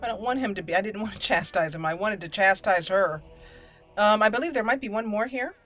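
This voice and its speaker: Woman gamer chatting and reading out rpg lines, middling pitch, faint music under it. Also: a little fast, a bit bright. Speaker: let's play host. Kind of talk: casual game roleplay commentary, reading dialogue in character.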